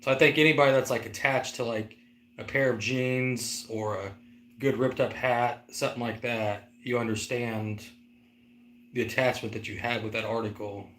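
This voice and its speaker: A man's voice: medium pitch, fairly even intonation, moderate pace, fairly bright tone, echoey room, a little hiss or hum. A man in his forties co-hosting a casual live-stream chat, rambling and teasing, with lots of "like". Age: 40s. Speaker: co-host.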